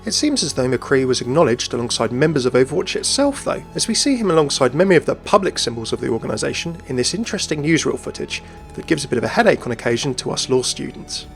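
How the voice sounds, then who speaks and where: A man delivers scripted narration as a video essayist. His pace is brisk and his voice sits a little high. Light music runs behind him.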